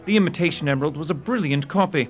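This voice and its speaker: An actor in an audio drama playing a shrewd, courteous gentleman detective with a precise, theatrical, condescending manner. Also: fairly high pitch, very fast, soft background music, lightly acted. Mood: explaining, triumphant.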